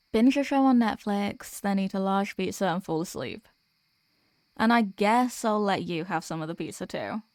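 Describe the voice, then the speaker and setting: A woman's voice: mid-range voice, no echo, close to an everyday voice. Woman acting a sweet, weary demoness girlfriend, close and dry. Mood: tired, playful. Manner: chatty, affectionate, intimate address to a partner. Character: sweet, weary demoness girlfriend venting about office work. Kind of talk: ASMR girlfriend roleplay.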